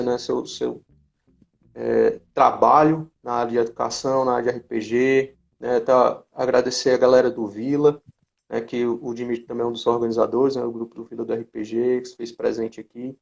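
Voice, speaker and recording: A man with a noticeable fry in his voice hosts an informal online chat. He talks in halting, conversational phrases and often tags them with a small question. The audio is a little dull, like a compressed live stream. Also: mid-range voice, a little mellow. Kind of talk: casual live interview chat. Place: remote live video chat on social media.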